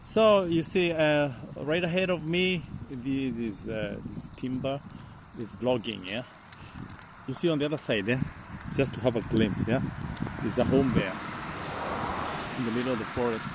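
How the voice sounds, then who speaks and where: A man from Kenya with a Kenyan accent, talking casually as a vlogger in a halting, repetitive way. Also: medium pitch, background noise. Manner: halting, repeats phrases. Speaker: vlogger, host. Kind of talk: casual outdoor vlog talk. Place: outdoors on a bicycle along village paths. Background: loud outdoor ambience.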